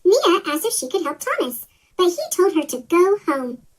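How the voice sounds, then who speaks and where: A woman reading a children's story aloud as scripted narration, her voice sped up with a chipmunk pitch-shift effect. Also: very animated, normal speed.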